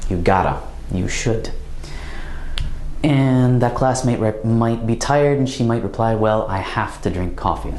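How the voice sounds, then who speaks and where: A man giving a recorded language grammar lesson, explaining slowly and carefully with example phrases. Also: on the low side, a little mellow, echoey room, slight background noise. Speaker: language teacher.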